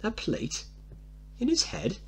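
A man playing a bright, innocent seven-year-old boy who speaks in a polite, proper, wide-eyed way in a play reading. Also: takes it slowly. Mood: awed, curious.

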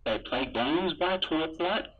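A man playing a helmeted sci-fi trooper, reading a rhyming children's storybook aloud through a radio helmet filter in a deliberate, sing-song way. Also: on the high side, animated, husky, exaggerated voice. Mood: animated storytelling. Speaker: storybook reader. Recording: dull, muffled radio-like sound.

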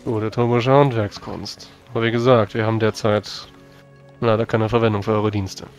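A man's voice: on the low side, little pitch movement, normal speed, faint music behind, close to an everyday voice. A man playing a stern, formal fantasy adventurer with curt politeness in a tabletop role-play. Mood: politely dismissive.

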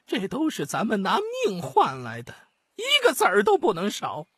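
A man doing a husky, wily eunuch minister. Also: high-pitched, wildly swooping pitch, normal speed, fairly bright tone, no room sound, clean studio sound, pitched above the performer's own voice, heavily acted. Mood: breezy, boastful. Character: wily, chummy court eunuch minister, self-important.

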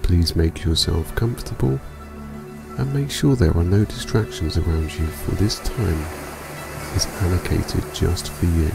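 A man narrating a scripted guided meditation for sleep in a calm, soothing, unhurried manner. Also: low-pitched, creaky. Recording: music bed behind voice. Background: music.

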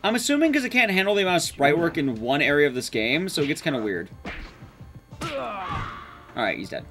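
High-voiced young man with animated delivery. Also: a little fast. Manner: self-deprecating, swears casually. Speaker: speedrun streamer. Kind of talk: casual live game commentary. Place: small indoor streaming room. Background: game music.

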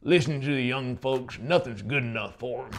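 A man's voice: mid-range voice, moderate pace, a little hiss or hum, pitched above the performer's own voice, natural voice. A man voicing a stubborn, petulant old small-town man in an audiobook, grumbling with folksy scorn. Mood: scornful. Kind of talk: dramatic audiobook reading.

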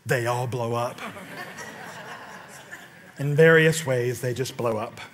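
A middle-aged male pastor preaching on a large church stage into a headset mic, telling stories with sudden loud outbursts and questions to the congregation. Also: medium pitch, slow pace, background noise. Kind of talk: sermon.

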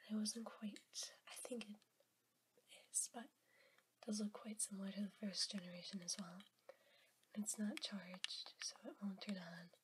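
A young woman whispering softly for an ASMR video while sick, rambling with ums. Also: medium pitch, flat delivery, noisy background. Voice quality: whispered, soft. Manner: slow, rambling, with ums and soft laughs. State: sick, voice a bit rough. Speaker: ASMR creator. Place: at a table indoors.

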